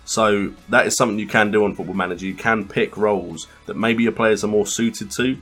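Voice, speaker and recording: A man with a fairly low voice hosts a gaming video. He gives casual, explanatory let's play commentary, with quiet music underneath. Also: fairly even intonation, fairly quick. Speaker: gaming channel host.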